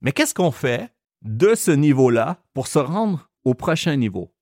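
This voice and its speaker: A man with a Quebec French accent hosting a podcast lesson, explaining slowly and carefully. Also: medium pitch, big pitch swings, a little mellow, no echo, clean studio sound. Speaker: French teacher and podcast host.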